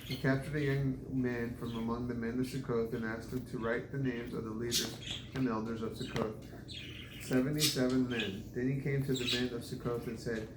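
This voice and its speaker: A man reading scripture aloud in a halting way, stumbling over difficult names. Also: medium pitch. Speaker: scripture reader. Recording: echoey room with background noise.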